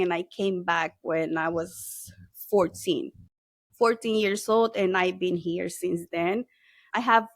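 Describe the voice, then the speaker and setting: A woman's voice: mid-range voice, no room sound. Woman, dry close sound. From El Salvador. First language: Spanish. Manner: friendly, mixes in Spanish words. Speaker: guest, SEO consultant. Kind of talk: casual interview talk.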